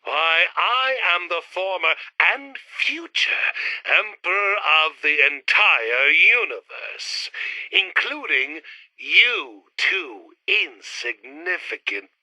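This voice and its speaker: An actor playing a pompous, scheming would-be space emperor in a theatrical retro sci-fi radio play. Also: male voice, on the high side, sing-song, takes it slowly, sharp tone, no room sound, exaggerated voice, rough recording. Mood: imperious, outraged. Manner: pompous, theatrical, alliterative insults.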